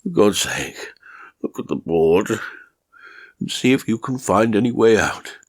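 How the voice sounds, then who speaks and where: A man voicing a desperate, haunted chess fanatic who stammers, mutters and croaks in fear. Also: fairly low pitch, animated, unhurried. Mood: panicked.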